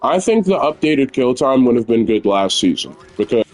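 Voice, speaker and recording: A young man with a naturally loud voice, chatting candidly and rambling while he plays a video game at home. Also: medium pitch, sing-song, normal speed, low-quality recording. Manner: rambling, candid, filler-heavy. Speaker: gamer streamer. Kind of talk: casual gameplay commentary.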